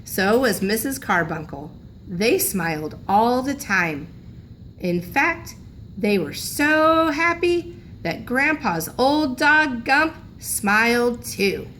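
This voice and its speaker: A woman's voice: on the high side, big pitch swings, takes it slowly, pushed and bright, slight background noise. A woman reading a children's storybook aloud like a teacher, expressively, lingering on key phrases for emphasis.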